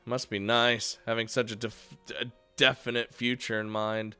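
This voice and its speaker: A man's voice: mid-range voice, flat delivery, moderate pace, sharp tone, smooth, faint music behind, lightly acted. A man reading the lines of an easygoing, curious teenage boy from a visual novel, casual and a little stumbling. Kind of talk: reading game dialogue aloud.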